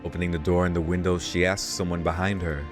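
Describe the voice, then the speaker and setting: A man with a low voice reads visual novel narration aloud as a let's play host. He mixes the reading with casual asides, while faint game music plays behind him. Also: flat delivery, quick pace.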